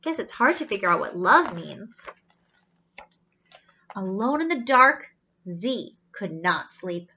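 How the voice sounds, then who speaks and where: A woman reading a storybook aloud to children, pausing in a teacherly way to explain pictures and ask questions. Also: mid-range voice, very animated, bright, forceful tone, slight background noise. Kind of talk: animated read-aloud storytelling with asides. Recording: slightly dull, lo-fi sound.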